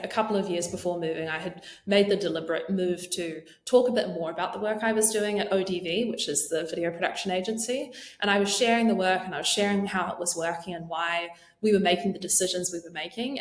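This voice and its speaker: Kiwi woman guest on a podcast, lowish voice, lots of ums, roomy echo. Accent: New Zealand. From New Zealand. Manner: chatty, frequent ums. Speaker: podcast guest, marketing lead. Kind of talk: casual interview chat.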